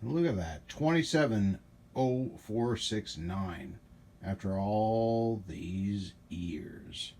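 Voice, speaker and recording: A man's voice: on the low side, expressive, slow, darkish tone. A middle-aged man hosting a repair video, talking in a casual, informal and admiring way as he demonstrates at the bench. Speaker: host of a radio repair channel. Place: small indoor home workshop. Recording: noisy room ambience on camera mic.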